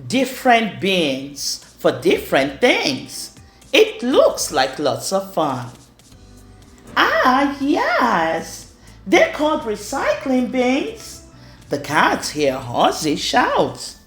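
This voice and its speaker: A woman reading a rhyming children's storybook aloud in an expressive way. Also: a little high, drawn-out words. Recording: roomy echo with music underneath. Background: music.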